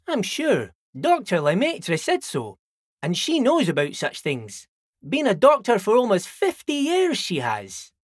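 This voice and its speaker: A man's voice: sits high, dry recording, clean studio sound, heavily acted. An actor playing a friendly, simple-minded old dockworker in a video game, folksy and rambling, repeating himself as he chats. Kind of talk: video game character dialogue.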